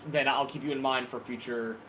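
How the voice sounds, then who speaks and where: A man's voice: mid-range voice, steady tone, a little fast, pushed and bright, a little hiss or hum, poor audio. A man hosting a casual live call, talking hesitantly and repeating himself with a friendly tone. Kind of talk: casual live-stream talk.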